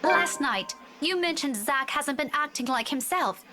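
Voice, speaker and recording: A woman's voice: high voice, expressive, measured pace, loud music behind the voice. A woman playing a worried, insistent young woman in a horror visual novel game. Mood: uneasy, earnest.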